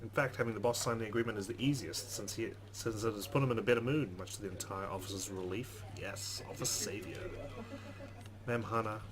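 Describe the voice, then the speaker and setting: A man with a fairly low voice reads story narration from a game at a rapid clip. He hosts a let's play and breaks off for casual remarks of his own. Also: a crowd in the background, rough recording. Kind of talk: reading a visual novel aloud with asides.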